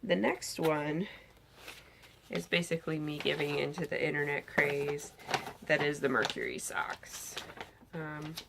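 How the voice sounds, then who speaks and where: Low-voiced, slightly hoarse woman chatting. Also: unhurried, background noise. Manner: chatty, enthusiastic, lots of "um"s. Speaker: knitting podcast host. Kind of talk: casual show-and-tell chat. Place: bedroom with pillows, indoors.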